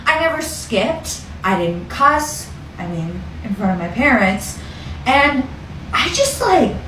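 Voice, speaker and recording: A woman's voice: fairly high pitch, sing-song, takes it slowly, a bit bright, a little hiss or hum. A young woman telling a casual personal story in a conversational, self-deprecating way, peppered with "like". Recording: roomy echo, distant from mic.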